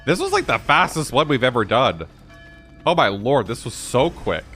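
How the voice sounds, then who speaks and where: Guy gamer reacting to cutscenes, lively n sarcastic, chatty, music in back. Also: mid-range voice, moderate pace. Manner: animated, sarcastic interjections. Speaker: gaming channel host. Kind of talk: casual reaction commentary on game cutscenes.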